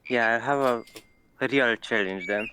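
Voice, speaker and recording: A man from Romania with a Romanian accent, answering interview questions in a halting way with dry humor. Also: medium pitch, bright, forceful tone, clear, resonant tone. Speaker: guest player.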